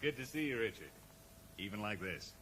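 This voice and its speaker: An actor playing a cool, calculating schemer, urbane, wry and evasive, in a 1980s radio drama. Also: male voice, on the low side, animated, moderate pace, fairly bright tone. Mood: wry, guarded. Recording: echoey, noisy old radio broadcast recording.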